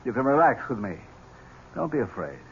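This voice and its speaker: An actor playing a wealthy, cynical middle-aged uncle with a deliberate, theatrical delivery in a 1970s radio drama. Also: male voice, medium pitch, sing-song. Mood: cold, accusing. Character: wealthy older uncle, grieving, cynical, bitingly accusing. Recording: dull, noisy 1970s radio recording.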